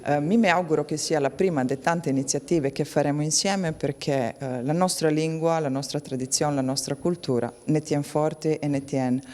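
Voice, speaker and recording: A woman's voice: low voice, moderate pace, few pauses, dark tone, a little hiss or hum. Veneto woman making informal remarks.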